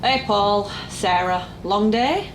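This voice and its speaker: A woman's voice: medium pitch, takes it slowly, noisy background, natural voice. A young woman playing a jaded, sarcastic call-centre worker in her twenties in an audio drama. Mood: sardonic, friendly. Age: young adult. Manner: informal, dry sarcasm. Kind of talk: naturalistic audio drama acting. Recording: roomy, music underneath.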